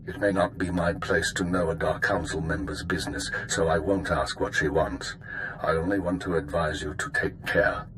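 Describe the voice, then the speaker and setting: Man acting a deep-voiced, guarded spymaster. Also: fairly even intonation, background noise, close to an everyday voice. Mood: formal, cautious. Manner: formal, guarded, measured. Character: dutiful, restrained male intelligence chief. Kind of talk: video game cutscene acting.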